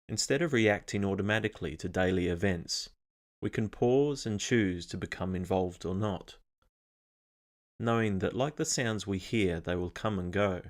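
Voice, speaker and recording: Very deep-voiced man guiding meditation. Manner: calm, measured, instructional. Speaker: meditation guide. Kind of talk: scripted guided meditation.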